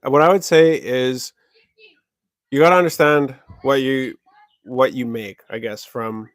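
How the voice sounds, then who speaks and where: A man's voice: medium pitch, steady tone, a little slow, no echo, clean studio sound. A young man from Canada hosting a livestream, answering viewer questions in a loose, rambling way full of "like" fillers and restarts. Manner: rambling, many "like" fillers and self-corrections. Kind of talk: casual livestream Q&A.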